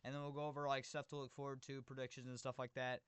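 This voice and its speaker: A young man, slightly hoarse from being sick, giving an energetic, rambling fan preview of his team's game. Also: medium pitch, fast, no echo. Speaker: team channel host. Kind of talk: casual sports preview talk.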